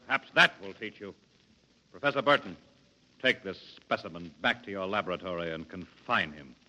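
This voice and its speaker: An actor playing a pompous, menacing state official, haughty and coldly sardonic, in a 1950s radio drama. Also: male voice, sits low, normal speed, pushed and bright. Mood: cold, commanding. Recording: noisy old 1950s radio recording.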